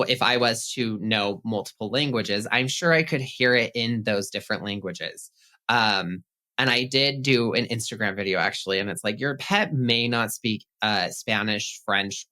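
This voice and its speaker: Bright-voiced chatty man, lowish pitch. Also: normal speed. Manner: chatty and upbeat, casual swearing. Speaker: pet psychic guest. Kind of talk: casual podcast interview.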